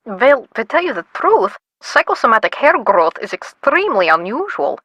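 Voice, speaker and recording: An actress playing a composed, cautious female doctor in a video game, with a measured, formal, precise way of talking. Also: on the high side, very animated, a bit bright, no room sound. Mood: grave, cautious.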